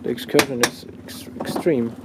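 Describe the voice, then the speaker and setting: A man asking casual questions as a visiting interviewer, halting and thinking aloud. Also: mid-range voice, steady tone. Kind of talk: casual questions and brief reactions. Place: small indoor workshop. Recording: noisy workshop room tone.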